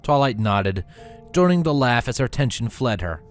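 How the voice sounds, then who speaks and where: A man's voice: mid-range voice, big pitch swings, normal speed, soft background music. A man narrating a scripted story as a reader. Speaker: fan fiction reader.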